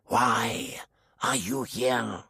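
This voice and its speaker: A man voicing a huge, grim male Martian warrior who speaks in a slow, hissing whisper. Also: mid-range voice, vocal fry, bright, forceful tone, husky, no room sound, studio quality, heavily acted. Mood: accusing.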